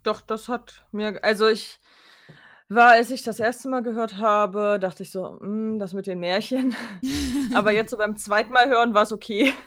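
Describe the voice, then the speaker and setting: A woman's voice: mid-range voice, steady tone, a little slow, a bit bright. A woman co-hosting a podcast, chatting casually and answering in short, agreeable replies. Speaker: podcast co-host. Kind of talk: casual unscripted chat.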